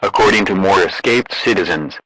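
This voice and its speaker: A man with a synthetic, deadpan and formal delivery, reading a scripted emergency broadcast announcement. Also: low voice, moderate pace, husky, dry recording, poor audio.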